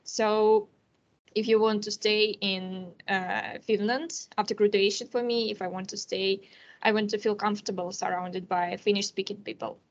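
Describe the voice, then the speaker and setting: A teenage woman with a Russian accent, answering questions carefully and pausing often to search for words. Her voice sits at an ordinary pitch, and the audio sounds a little dull and lo-fi. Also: steady tone. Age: teens. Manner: careful, with frequent hesitations. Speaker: international student and podcast guest.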